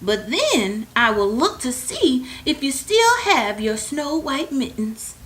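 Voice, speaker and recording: A woman voicing a caring, cautioning grandmother in a children's storybook, speaking in a measured, gently warning way. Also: sits high, big pitch swings, voice pushed up, heavily acted, low-quality recording. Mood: gently firm. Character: caring, cautioning grandmother who knits mittens.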